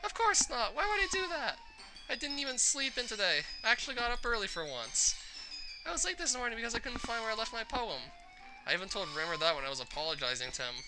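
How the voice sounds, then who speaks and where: A woman's voice: fairly high pitch, sing-song, quick pace, music in the background, pitched above the performer's own voice, rough recording. A performer voicing a bubbly, defensive teenage schoolgirl in a whiny, earnest way for a visual novel read-aloud. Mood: flustered, protesting. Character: bubbly, defensive, forgetful teenage girl club member.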